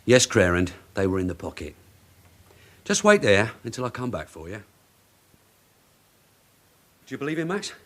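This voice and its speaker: An actor playing a shrewd, needling British detective sergeant in a radio drama, sardonic and mock-courteous. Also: male voice, lowish voice, huge pitch swings, normal speed, long pauses, a bit bright. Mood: probing, then businesslike.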